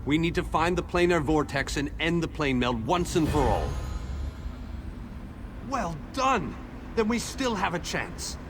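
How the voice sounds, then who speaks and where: A man's voice: fairly high pitch, very animated, unhurried, pauses often, background noise. A man voicing an earnest war leader in a video game, solemn and formal. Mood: relieved gratitude. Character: earnest male war leader rallying allies.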